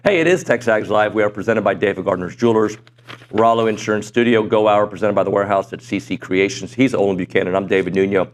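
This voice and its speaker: A middle-aged man hosting a sports talk show in a studio, chatty and self-deprecating, his voice a little hoarse. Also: on the low side, fast talker, a little hiss or hum. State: voice going hoarse. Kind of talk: casual sports talk chat. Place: broadcast studio.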